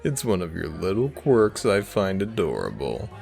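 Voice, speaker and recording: A man's voice: low-pitched, sing-song, moderate pace, vocal fry, darkish tone, faint music behind, exaggerated voice. A man playing a cocky, flirtatious werewolf from a rival pack in an audio roleplay drama, smug and theatrical in his teasing.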